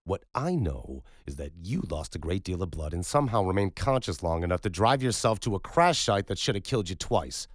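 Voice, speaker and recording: A man voicing a brisk male trauma doctor in an audiobook, speaking in terse clinical orders. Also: fairly low pitch, dry recording, studio quality, voice pushed up, natural voice.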